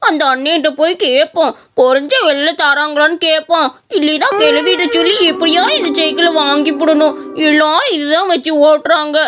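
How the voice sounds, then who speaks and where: Woman as a high-voiced, wheedling village schoolboy. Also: steady tone, brisk, hardly any pauses, a bit bright. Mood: wistful. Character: wistful, pleading poor village schoolboy. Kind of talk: comic village skit acting.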